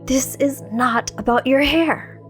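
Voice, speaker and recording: A woman's voice: sits high, slow pace, over music. Woman voicing an intense, taut teenage boy hero. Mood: tense protest. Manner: taut, emphatic, terse. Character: intense, self-sacrificing teenage boy hero, hot-tempered. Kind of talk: acted storybook dialogue.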